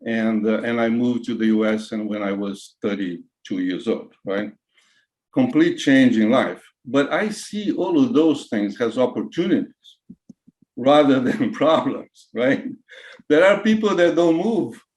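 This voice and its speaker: A Brazilian man in his sixties with a Brazilian Portuguese accent, whose first language is Portuguese, talks as a retired tech executive on a podcast. He speaks in halting bursts, circling back with "uh" and "you know" before landing on a point. His voice sits at a middle pitch for a man. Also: lively intonation, a little mellow. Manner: halting, many fillers and restarts. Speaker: guest, retired CIO. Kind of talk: casual interview answers.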